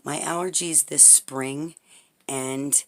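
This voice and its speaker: Brief: raspy-voiced woman, home tutorial host, chatty and rambling, filmed over a tabletop. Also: low-pitched, steady tone, slow pace. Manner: chatty, rambling, frequent "okay?" check-ins. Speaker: herbal salve maker demonstrating a recipe. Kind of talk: casual how-to tutorial. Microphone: camera pointed at the table, speaker off-frame.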